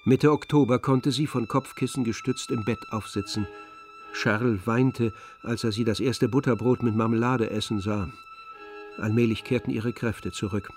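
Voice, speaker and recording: A man's voice: medium pitch, steady tone, normal speed, soft background music. A man reading scripted literary narration as the narrator of an audio drama.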